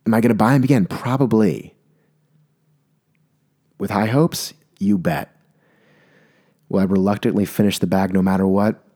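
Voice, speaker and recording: A young man with a mellow, fairly low voice tells a comic story in a mock-serious, rambling way. He often stops mid-sentence, restarts and corrects himself. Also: steady tone, moderate pace, long pauses. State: maybe congested. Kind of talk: comedic monologue.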